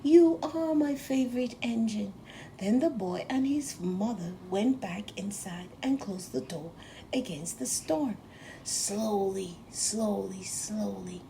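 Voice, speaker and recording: A woman narrating a children's storybook aloud in a gentle, deliberate, expressive storytelling manner. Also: medium pitch, vocal fry, a little hiss or hum, poor audio. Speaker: storybook reader.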